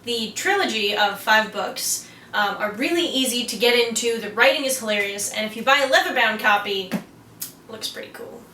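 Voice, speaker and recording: Bright, high-voiced woman in an echoey room. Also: animated, normal speed. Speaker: co-host of a geek culture explainer show. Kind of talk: scripted presenting. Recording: echoey room with faint noise.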